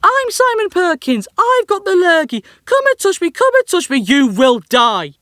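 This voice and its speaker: An actor voicing mocking young schoolchildren in a sing-song playground taunt for an audio drama. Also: male voice, very high-pitched, wildly swooping pitch, moderate pace, pushed and bright, no room sound, clean studio sound, pitched above the performer's own voice, full character voice.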